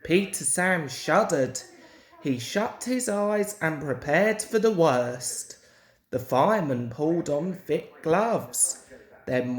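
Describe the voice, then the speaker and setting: Slow, low-pitched woman reading a storybook. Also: echoey room. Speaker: storybook reader. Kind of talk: children's story narration.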